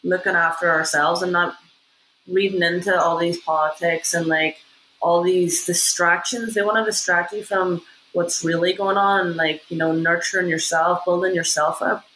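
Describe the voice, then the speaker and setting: Bright-voiced person going on about good energy, swears a lot, faint noise behind. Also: medium pitch, steady tone, moderate pace, rough recording. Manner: emphatic, laughing, swears freely. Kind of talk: casual spiritual chat.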